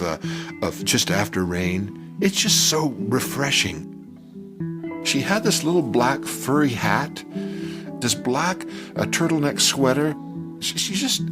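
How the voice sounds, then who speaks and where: An older man telling a personal story from his youth in a conversational, halting and animated way. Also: mid-range voice. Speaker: storyteller telling his own childhood memory. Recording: music bed under the voice. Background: music.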